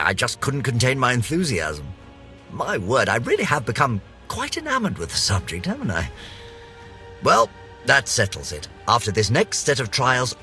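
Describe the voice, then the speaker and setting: An actor playing a pompous, eccentric British gentleman scientist, vain and eager, reading florid journal entries for a video game in a theatrical, precisely worded way. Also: on the low side, exaggerated voice.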